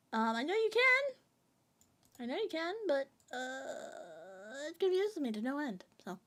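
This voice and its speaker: A woman's voice: sits high, a bit bright. A woman streamer chatting casually with her viewers, rambling and joking in a playful, sing-song way. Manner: rambling and jokey, reading chat aloud.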